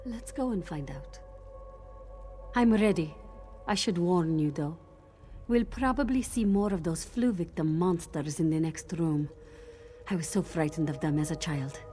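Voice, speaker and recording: A woman playing a young cat-woman mystic in a fantasy game, earnest and reflective, sometimes halting. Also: lowish voice, warm tone, faint music behind, natural voice. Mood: subdued, resolved. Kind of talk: dramatic fantasy game acting.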